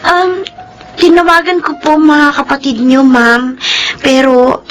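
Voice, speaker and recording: An actress in a Filipino radio drama playing a young, devoted housemaid who speaks respectfully and earnestly. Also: female voice, high-pitched, slow pace, faint music behind, rough recording. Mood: humble, hesitant. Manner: deferential, pleading.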